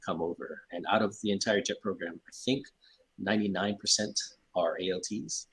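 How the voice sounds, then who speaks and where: A man's voice: low voice, a little fast, a bit bright, breathy edge, rough recording. A man giving plain, explanatory answers as a guest in a casual interview. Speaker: guest translator.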